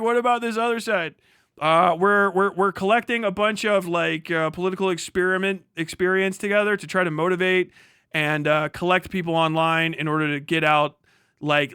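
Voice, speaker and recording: A man's voice: on the high side, bright, forceful tone, clear, resonant tone, dry recording, clean studio sound. A man hosting a livestream, reading aloud and riffing casually in a sarcastic, mocking way with plenty of swearing. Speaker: livestream host reacting to an article.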